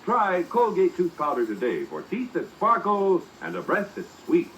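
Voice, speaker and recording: A man's voice: high voice, wildly swooping pitch, moderate pace, fairly bright tone, muffled recording, soft background music. A man announcing a 1940s radio commercial in a polished, emphatic salesman's style. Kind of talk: 1940s radio advertising read. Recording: slightly noisy old radio broadcast.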